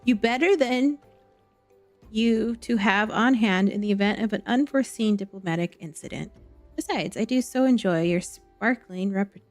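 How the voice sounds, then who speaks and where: A woman's voice: medium pitch, no echo, soft background music, lightly acted. A woman voicing a formal, self-assured diplomat from a fantasy game, reading the dialogue aloud and sometimes stumbling on words. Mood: calculating, polite. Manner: formal wording, halting over words.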